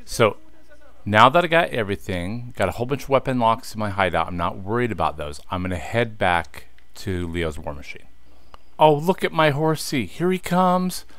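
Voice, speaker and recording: A man's voice: on the low side, lively intonation, normal speed, a bit bright. A man in his thirties chatting casually to viewers as he plays a game, easily frustrated and talking to them directly. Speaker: let's play host. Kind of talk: casual gameplay commentary.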